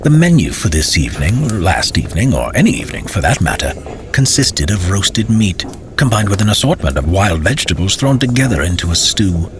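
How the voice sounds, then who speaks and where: A man's voice: sits low, sing-song, brisk. A man narrating a fantasy tale as a storyteller, with dramatic, theatrical and courtly phrasing.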